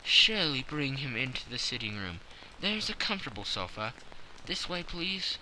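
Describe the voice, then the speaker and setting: A man's voice: mid-range voice, very animated, moderate pace, a little hiss or hum, low-quality recording. A man putting on a gracious young lady. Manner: gentle, polite.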